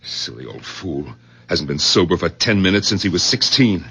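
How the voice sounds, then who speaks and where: An actor playing an irritable, excitable older doctor in a radio drama, husky, sardonic and emphatic in delivery. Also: male voice, deep voice, normal speed, noisy background, exaggerated voice. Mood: exasperated. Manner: exasperated, emphatic, theatrical. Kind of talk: old-time radio drama acting. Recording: dull lo-fi radio drama recording.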